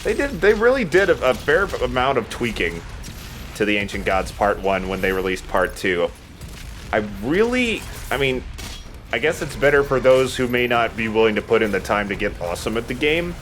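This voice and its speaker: A man's voice: medium pitch, very animated, normal speed, fairly bright tone, slight background noise. A man giving casual, rambling gaming commentary as a let's play host, sometimes swearing.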